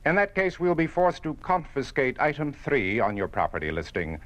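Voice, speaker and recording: A cold, unyielding government official in a classic radio drama. His delivery is formal and bureaucratic, with strongly varied intonation on a mid-pitched voice. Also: sing-song, normal speed, fairly bright tone. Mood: stern, unyielding. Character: cold, officious male state tax official. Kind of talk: 1950s radio drama acting.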